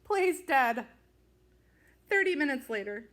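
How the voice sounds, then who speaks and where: A woman reading a children's picture book aloud in an expressive, deliberate way with dramatic emphasis. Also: sits high. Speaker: storybook reader.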